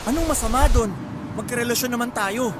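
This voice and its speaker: A woman's voice: on the high side, a little fast, heavy background noise, lightly acted. A woman playing a defensive young father in a radio drama, coaxing and plaintive with his partner. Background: loud background music.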